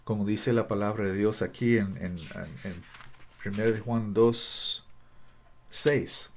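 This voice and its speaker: A man whose first language is English leads a Bible study in careful, halting speech, often restarting his sentences. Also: fairly low pitch, steady tone, a little mellow. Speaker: Bible teacher. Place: Costa Rica.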